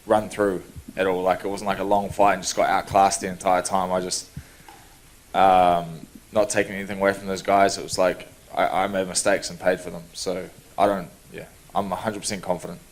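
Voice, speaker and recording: A young man, answering reporters' questions in an easygoing, relaxed way at a media day. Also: deep voice, brisk, low-quality recording. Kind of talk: press scrum answers.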